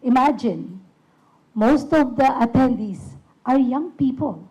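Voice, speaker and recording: A woman with a husky voice giving a hesitant, casual talk about her puppet ministry, with many fillers and restarts. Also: on the high side, expressive, takes it slowly, warm tone, muffled recording. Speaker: puppet ministry team member. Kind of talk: casual presentation.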